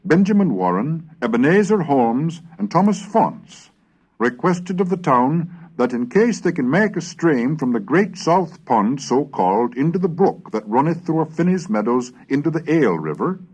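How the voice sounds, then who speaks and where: A man reading scripted historical narration in a formal, measured, expressive manner, as the narrator of a recorded lesson. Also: on the high side, slightly rough.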